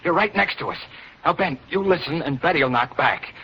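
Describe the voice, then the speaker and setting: A man's voice: on the high side, animated, moderate pace, sharp tone. An actor playing a tense, resolute trapped man in a clipped 1940s radio drama style. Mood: determined. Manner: clipped, urgent, colloquial. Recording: muffled hissy 1940s radio recording.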